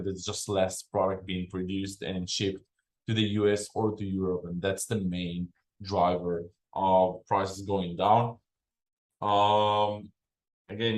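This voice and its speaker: Low-voiced man in a roomy space. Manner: unhurried, explains with frequent fillers and restarts. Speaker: freight forwarding company founder, podcast guest. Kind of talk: explanatory business interview. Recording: roomy echo.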